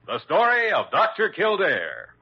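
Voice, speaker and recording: High, animated vintage male radio announcer. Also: a little slow. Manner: dramatic, proclaiming. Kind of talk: 1940s-50s radio announcing. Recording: muffled old radio broadcast, loud music behind. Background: loud music.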